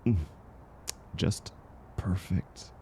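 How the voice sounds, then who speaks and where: A man acting a kind, gentle stranger in an intimate audio roleplay, speaking reassuringly and unhurriedly to the listener. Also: a little hiss or hum. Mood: caring, encouraging. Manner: soft-spoken, reassuring, unhurried. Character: kind, gentle man, once homeless, sharing dinner with a stranger.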